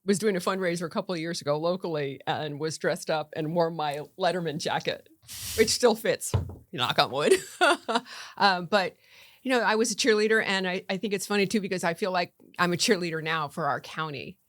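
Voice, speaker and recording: A woman's voice: lowish voice, fairly quick, no echo, clean studio sound. A middle-aged woman from Connecticut, a county commissioner chatting easily as a podcast guest, laughing often. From Connecticut, USA. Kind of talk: casual interview chat.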